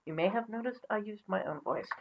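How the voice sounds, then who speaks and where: A woman presenting as a storyteller, mixing expressive storybook reading with casual asides. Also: mid-range voice, fairly quick, vocal fry, highs cut off.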